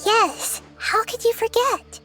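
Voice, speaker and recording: Game role: little girl deity, extremely high voice, kind, thoughtful, reassuring delivery. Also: slow pace, over music. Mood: curious. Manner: gentle, thoughtful, earnest. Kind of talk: scripted video game voice acting.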